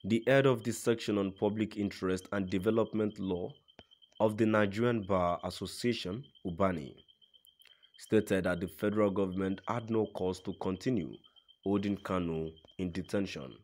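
Man, lowish voice, formal news narrator, scripted read-aloud delivery. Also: normal speed, a little mellow.

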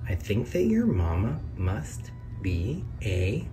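A man's voice: fairly low pitch, wildly swooping pitch, slow, recorded from a distance, over music. A man reading a children's picture book aloud in a sing-song way, lifting his voice on each question and reveal. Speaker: read-aloud narrator. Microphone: camera over the book, hands on pages.

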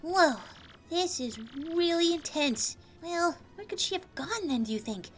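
A woman voicing a perky, excitable young female Goomba student in a video game, talking in sassy valley-girl slang. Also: extremely high pitch, a little slow. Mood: intrigued. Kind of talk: video game dialogue voice acting. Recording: game music behind the voice.

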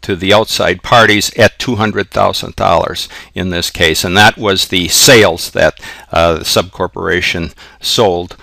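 Male instructor, very low gravelly voice with a bright edge, step-by-step explainer, informal delivery. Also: steady tone, unhurried, no echo. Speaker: accounting instructor. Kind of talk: informal tutorial narration explaining worked examples step by step. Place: close indoor voice-over for a screen recording.

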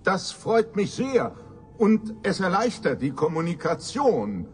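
An actor in a German radio drama playing an eloquent, pompous professor with formal, theatrical delivery. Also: male voice, high voice, sing-song, takes it slowly, faint music behind, exaggerated voice. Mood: calling, eager. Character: eloquent, pompous male professor of extraterrestrial research.

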